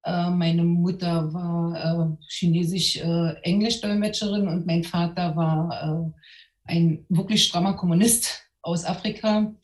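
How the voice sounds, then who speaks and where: A woman's voice: on the low side, steady tone, unhurried, darkish tone. Middle-aged woman journalist, hesitant, echoey. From East Berlin, Germany. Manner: thoughtful, frequent hesitations. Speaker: journalist guest. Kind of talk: personal account on a panel. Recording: echoey room.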